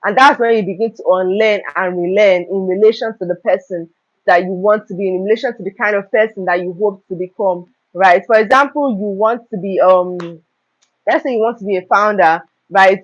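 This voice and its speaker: A young woman hosting a live stream, talking earnestly about self-improvement and often checking in with "okay?" and "right?". Her throat is slightly hoarse. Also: medium pitch, brisk. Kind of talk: motivational talk on a live stream. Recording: dull lo-fi live stream audio.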